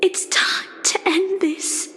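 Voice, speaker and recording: An actress playing a bitter, despairing fallen singer in a game, hushed and breathy. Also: female voice, very high-pitched, fairly even intonation, slow pace, slight background noise, full character voice. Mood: cold, bitter. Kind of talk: dramatic game voice acting. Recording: long reverberant hall, music behind.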